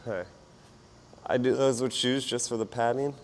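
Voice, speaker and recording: A man with a hoarse, partly lost voice talks casually and self-deprecatingly as a fitness vlogger while he exercises. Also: mid-range voice, normal speed, a little hiss or hum. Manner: informal, self-deprecating, rambling while exerting himself. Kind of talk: casual workout vlog chat while exercising.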